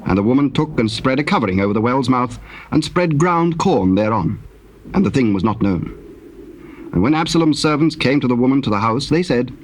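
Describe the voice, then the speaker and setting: A man narrating scripture from a script in a measured, formal manner, on a hissy old cassette. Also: medium pitch, lively intonation.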